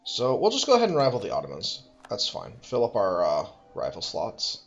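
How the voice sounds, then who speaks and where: A man's voice: fairly low pitch, wildly swooping pitch, fairly quick, muffled recording, soft background music. A man thinking aloud as he plays a strategy game, musing, correcting himself and making dry asides. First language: English. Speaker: let's play host.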